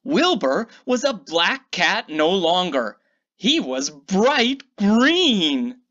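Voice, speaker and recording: A woman's voice: lowish voice, sing-song, extremely slow, a bit bright, muffled recording. A woman reading a children's picture book aloud, theatrically drawing out key words for emphasis. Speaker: children's storybook reader.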